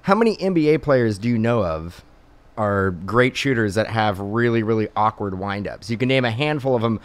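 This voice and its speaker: A man's voice: fairly low pitch, animated, moderate pace. A man co-hosting a casual talk show, joking and opinionated. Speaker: co-host. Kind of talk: casual chat.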